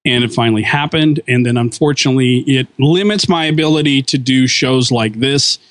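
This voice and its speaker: A man's voice: medium pitch, a little fast, no echo. A podcast co-host on his last show, chatting casually and earnestly, fond of corny dad jokes.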